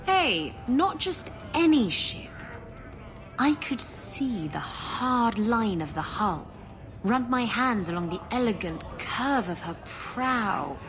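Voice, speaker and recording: A woman's voice: a little high, very animated, takes it slowly, music in the background, heavily acted. An actress playing a brash, flirtatious female pirate captain in a game, cheeky, sardonic and theatrical. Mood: dreamy, flirtatious.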